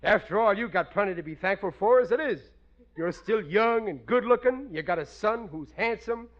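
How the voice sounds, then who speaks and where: An actor playing a blustering, soft-hearted working-class family man in a 1940s radio sitcom, loud and ungrammatical. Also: high-pitched, animated, moderate pace, dull audio. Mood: sentimental. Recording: noisy 1940s radio recording, studio audience.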